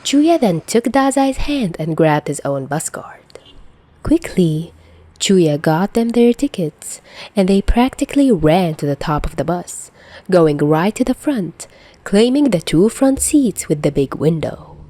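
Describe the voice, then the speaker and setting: Woman, podfic narrator, very lively intonation, dry close sound, expressive storytelling. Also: fairly low pitch, measured pace, no echo. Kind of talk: expressive fan fiction audiobook narration.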